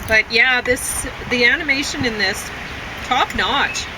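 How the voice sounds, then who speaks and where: A woman's voice: a little high, a little slow, noisy background. A woman reviewing films in a casual, unscripted chat, rambling and often correcting herself as she goes. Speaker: film reviewer.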